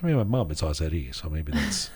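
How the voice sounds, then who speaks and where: A man hosting a casual studio chat, telling travel stories in a rambling, animated way with many restarts. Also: very deep voice, fast, slightly rough. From Iranian heritage. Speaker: podcast host.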